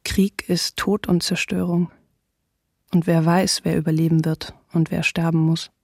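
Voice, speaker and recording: An actress voicing a bleak, resigned woman in her twenties, reading a wartime diary in a hushed, breathy voice in radio drama. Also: lowish voice, little pitch movement, measured pace, no echo, clean studio sound. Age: 20s. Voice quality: husky, breathy. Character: bleak, resigned female graphic artist of twenty-eight, diarist.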